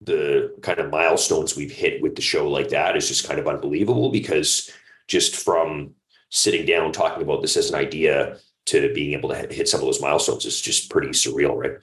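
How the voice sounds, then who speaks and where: A man's voice: rumbling low, fairly quick, a bit bright. A man chatting casually and enthusiastically as an interview guest, rambling with plenty of "like" and "you know". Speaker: audio drama creator and producer, guest. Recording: echoey room.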